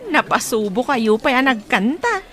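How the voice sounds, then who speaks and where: An actress playing a chatty, deferential young personal assistant in a radio drama. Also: female voice, on the high side, big pitch swings, normal speed, a bit bright. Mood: chatty, teasing. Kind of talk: Ilocano radio drama acting.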